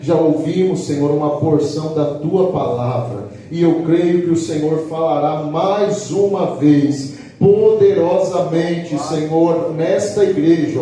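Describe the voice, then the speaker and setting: Male pastor, higher mellow voice, fervent prayer, distant echoey church sound. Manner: fervent, repetitive invocations. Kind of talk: spoken prayer. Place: church service. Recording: echoey church hall, from far off.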